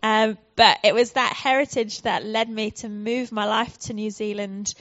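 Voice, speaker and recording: A woman's voice: mid-range voice, fairly even intonation, measured pace, fairly bright tone, no echo, muffled recording. A woman from the United Kingdom with a British accent, a charity guest speaker giving a friendly, earnest church talk with frequent ums.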